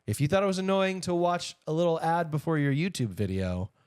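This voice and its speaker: A man's voice: fairly high pitch, very animated, fairly quick, darkish tone, clear, resonant tone, no room sound, clean studio sound. An American man co-hosting a podcast, chatting casually and giving wry, opinionated asides on entertainment news. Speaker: podcast co-host.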